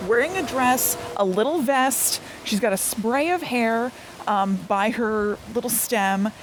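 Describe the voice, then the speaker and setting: A woman's voice: fairly high pitch, a little slow. A woman co-hosting on location, chatting casually in a playful, slangy and agreeable way. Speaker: podcast co-host. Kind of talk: casual chat while sightseeing. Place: outdoors on location near traffic. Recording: noisy outdoor recording with traffic.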